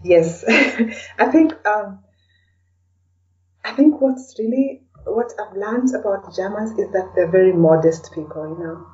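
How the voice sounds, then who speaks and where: A woman's voice: medium pitch, measured pace, a little mellow, far from the mic, dull audio. A woman from Kenya talking reflectively as an interview guest, in casual conversation, often tagging her sentences with "you know".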